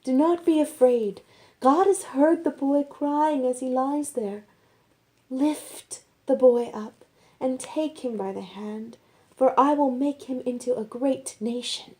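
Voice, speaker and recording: A commanding, reassuring angel of scripture , acted out by a woman storyteller. The voice is lifted high and proclaims each phrase with emphasis. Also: takes it slowly, darkish tone. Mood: urgent reassurance.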